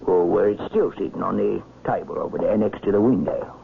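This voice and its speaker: An actor playing a deferential ship's captain in a radio mystery, gravelly and halting, hemming and hawing. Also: male voice, on the low side. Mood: nervously obliging. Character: hesitant, deferential, talkative male ship's captain. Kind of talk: 1970s radio mystery acting. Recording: muffled, noisy vintage radio recording.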